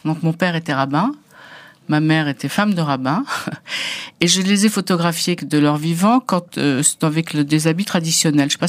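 A middle-aged woman photographer from North Africa, a native Arabic speaker, telling her story as a guest with many hesitations and restarts. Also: low-pitched. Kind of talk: personal reflective interview talk.

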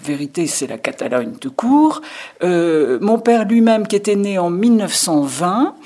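A woman from the south of France answering interview questions in a reflective, hesitant way with many pauses. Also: lowish voice, big pitch swings, warm tone. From Pyrénées-Orientales, France. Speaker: author guest.

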